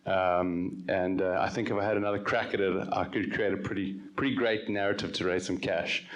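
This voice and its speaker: A man speaking as a venture capital managing director on a conference panel, relaxed and conversational with frequent ums. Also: sits low, a little fast. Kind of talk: panel discussion.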